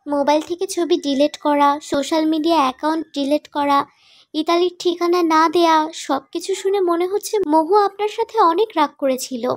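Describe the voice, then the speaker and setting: A woman acts a loyal, inquisitive young female friend in a cartoon love story. The voice is high and close, very dry. The delivery is questioning and gently reproachful. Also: fairly quick, dry recording, lightly acted. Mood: curious, concerned. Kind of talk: animated romantic drama dialogue.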